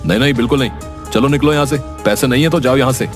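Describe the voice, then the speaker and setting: Cartoon shopkeeper, male actor: curt, dismissive, rapid delivery, mid pitch. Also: lightly acted. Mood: brusque. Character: curt, unfeeling samosa shopkeeper. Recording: music behind the voice.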